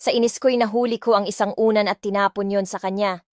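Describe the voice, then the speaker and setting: A woman's voice: medium pitch, fairly even intonation, quick pace, soft background music. Dramatic woman narrating a romance audiobook. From Philippines. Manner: dramatic, indignant, mixing Tagalog and English.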